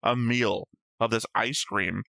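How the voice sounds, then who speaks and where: A man's voice: medium pitch, fairly even intonation, slow, gravelly, pushed and bright, dry recording. A man co-hosting a reaction show, talking through his notes with a dry, analytical manner. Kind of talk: casual episode discussion reading own notes.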